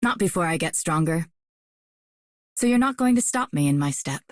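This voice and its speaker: A woman's voice: deep voice, expressive, moderate pace, no room sound, studio quality. A woman voicing a solemn, resolute young female warrior in scripted video game lines. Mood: wistful, determined.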